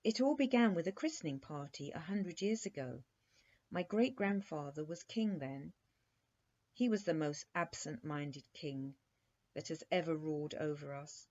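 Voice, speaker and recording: A woman's voice: sits low, darkish tone. A woman voicing a courteous, wistful young prince in a storybook, polite and a little plaintive. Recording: dull, muffled lo-fi recording.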